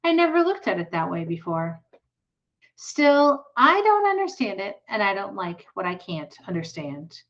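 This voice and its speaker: A fussy, anxious farm mother from a storybook, read aloud by a woman. She sounds puzzled and insistent, at a medium pitch. The sound is a little dull and lo-fi. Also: big pitch swings, moderate pace, fairly bright tone. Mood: worried.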